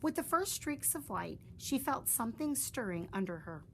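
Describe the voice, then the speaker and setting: Expressive storybook reader, woman, lively pitch, light background noise. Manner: expressive storybook reading with slow, drawn-out phrases. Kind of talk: children's story read aloud.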